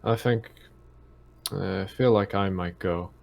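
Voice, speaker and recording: A man playing a tabletop game, chatting casually in a halting, hesitant way that often trails off. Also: low-pitched, gravelly, a little mellow.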